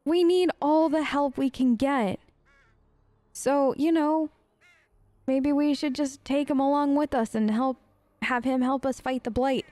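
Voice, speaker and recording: A woman's voice: high-pitched, fairly even intonation, a little slow, dark tone, no room sound. A woman improvising casual in-character replies as a let's play host, chatty, informal and a bit rambling. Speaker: let's play host role-playing her game character.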